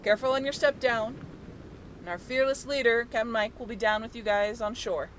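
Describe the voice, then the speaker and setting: Brief: actress as cheerful young female tour-boat crew, higher pitched, casual and chatty, faint background noise. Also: fairly even intonation, fairly quick, natural voice. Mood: brisk, cheerful. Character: friendly, upbeat young woman, dive crew member on a tour ship. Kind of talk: modern-day roleplay audio drama, casual.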